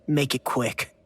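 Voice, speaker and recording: An actor playing a bitter, defensive young turncoat in a video game, curt and defiant, with a husky voice. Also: male voice, sharp tone. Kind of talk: English video game dub acting.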